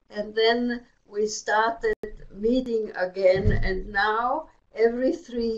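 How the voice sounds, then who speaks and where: An older woman, a native German speaker, calmly recalling her life story as a guest in an indoor exhibit room. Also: fairly high pitch. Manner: unhurried, plain storytelling. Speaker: Holocaust survivor guest. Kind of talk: slow personal recollection. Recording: dull lo-fi webinar audio.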